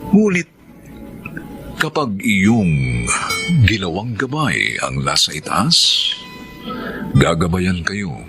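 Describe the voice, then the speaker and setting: Very deep, dramatic, gravelly male radio narrator. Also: huge pitch swings, over music. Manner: solemn, dramatic, deliberate announcing. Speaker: narrator and co-director.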